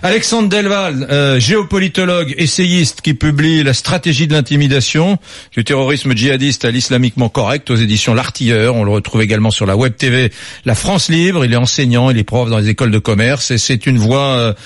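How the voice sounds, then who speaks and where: A man hosting a radio talk show. He introduces guests and interviews a caller with brisk prompts. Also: mid-range voice, no echo. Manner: brisk, with short affirming interjections. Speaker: radio host. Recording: dry radio studio.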